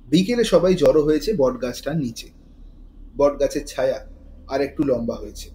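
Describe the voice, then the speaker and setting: Medium-pitched male story narrator. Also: normal speed, mellow. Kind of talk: scripted dramatic story narration. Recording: faint noise and ambience behind voice.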